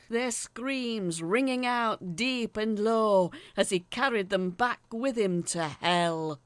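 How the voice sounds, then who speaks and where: Actress as haunted female letter writer for audio drama: confiding storyteller, creaky edge, very lively intonation. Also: fairly low pitch, heavily acted. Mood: ominous, dramatic. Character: woman letter writer recalling eerie childhood tales, earnest, haunted. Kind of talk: dramatic scripted narration, read as a letter.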